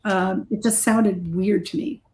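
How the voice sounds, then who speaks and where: A woman's voice: medium pitch, fairly even intonation, measured pace, a little mellow. A middle-aged woman, a heavy metal musician being interviewed, chatting casually and telling stories in a blunt, self-deprecating way. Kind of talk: casual interview chat, anecdotal storytelling.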